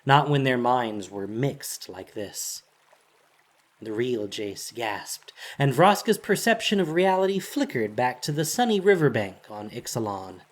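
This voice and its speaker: A man's voice: mid-range voice, very animated, measured pace. A man reading scripted fantasy narration in a dramatic storytelling style, as the narrator of an audio drama.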